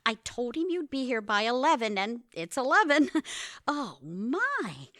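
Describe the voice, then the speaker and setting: A woman's voice: on the high side, very animated, unhurried, fairly bright tone, dry recording, clean studio sound. A woman playing a doting, fussing middle-aged mother in an audio theatre comedy-drama, with a gushing, motherly manner.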